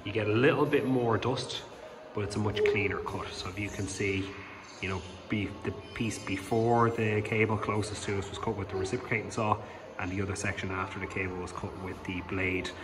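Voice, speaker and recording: A man's voice: on the low side, a little fast, over music. A man in his thirties with an Irish accent, casually explaining a renovation job with frequent ums. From Ireland. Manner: relaxed, hesitant with frequent ums. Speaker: home renovation vlogger. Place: large empty room under renovation, indoors. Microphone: phone camera held in hand. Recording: reverberant bare room with faint ambience.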